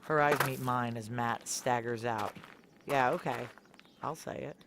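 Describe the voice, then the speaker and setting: A woman's voice: rumbling low, a little slow, heavy background noise. A woman playing a guarded, brooding woman on the run who narrates a noir audio drama in a terse, wry, confiding way.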